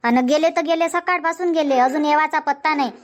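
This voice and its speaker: A high, bright-voiced village housewife, voiced by a woman in a cartoon comedy. She scolds and grumbles in fast village banter. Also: lightly acted. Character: nagging village housewife Shanta, chatty and sharp-tongued.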